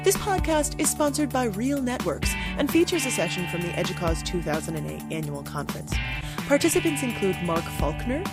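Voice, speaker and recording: A woman's voice: mid-range voice, fairly quick. A woman reading a polished scripted podcast introduction as the announcer. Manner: lively, polished read. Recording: studio voice-over with loud music. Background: loud music.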